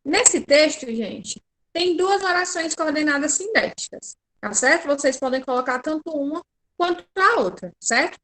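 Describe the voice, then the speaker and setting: Brazilian woman teacher on a video call, patient, fairly high voice, keeps checking understanding. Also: fairly bright tone, poor audio. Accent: Brazilian Portuguese. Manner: patient, checks in often with "né?" and "certo?". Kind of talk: online class.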